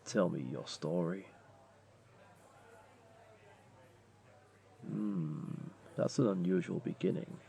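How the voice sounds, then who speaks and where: A man playing a flirty, comforting jaguar beastling in an intimate audio roleplay, gently coaxing the listener along. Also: sits low, measured pace, gravelly, full character voice. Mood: inviting, flirty. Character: flirty, comforting male jaguar beastling, patient restaurant owner.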